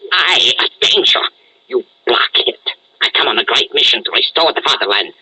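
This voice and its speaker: A man's voice: medium pitch, lively intonation, normal speed, bright, forceful tone, breathy edge, dry recording, heavily acted, rough recording. A man playing an imperious, fanatical German scientist villain in a 1940s-style radio serial, with a German accent and a commanding, contemptuous manner. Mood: impatient, angry. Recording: old radio broadcast recording.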